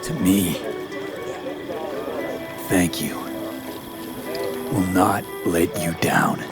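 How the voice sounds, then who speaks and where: Gruff weary merc in a video game, low voice, terse and guarded, loyal underneath, music under it. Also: male voice, drawn-out words, exaggerated voice. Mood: sincere, reflective. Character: weary male mercenary, former nomad, gruff but loyal.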